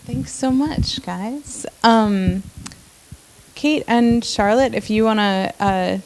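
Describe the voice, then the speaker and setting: A woman's voice: on the high side, slow, darkish tone, poor audio. A woman moderating a question session at a university event in a friendly, informal way.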